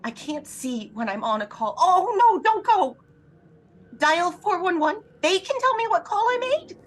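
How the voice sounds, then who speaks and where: An actress playing an anxious, imperious invalid wife in a throwback radio thriller, agitated, demanding and melodramatic. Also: female voice, extremely high pitch, sing-song, a little slow, slight background noise, exaggerated voice. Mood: exasperated, frantic. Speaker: lead actress in an audio drama.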